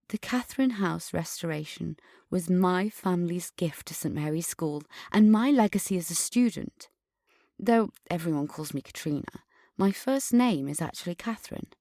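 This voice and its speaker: Casting: privileged, defensive woman for podcast drama, slightly low voice, formal precise diction, pompous. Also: darkish tone, no echo, clean studio sound. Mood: proud, genteel. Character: haughty, defensive upper-class adult alumna named Katrina. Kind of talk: dramatic monologue in a fiction podcast.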